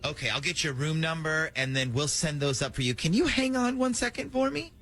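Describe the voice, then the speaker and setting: A man hosting a morning radio show, talking in an animated, conversational way during a prank call segment. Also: a little high, brisk.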